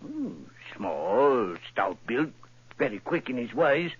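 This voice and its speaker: An earnest, homespun middle-aged shopkeeper in a vintage radio play. His voice is husky and breathy, and it swings up and down as he tells his tale in plain, rustic speech. The sound is dull and dry, like an old broadcast. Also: mid-range voice, very animated, slow, dry recording, full character voice. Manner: homely, earnest, rustic grammar. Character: plain-spoken middle-aged male pawnbroker client, earnest. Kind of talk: 1940s radio acting.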